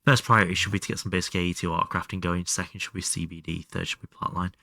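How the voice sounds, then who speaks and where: A man's voice: sits low, fairly even intonation, rapid-fire, creaky, pushed and bright, dry recording, studio quality. A man doing casual live game commentary and chatting to viewers, sweary and self-deprecating. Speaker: live streamer playing a video game.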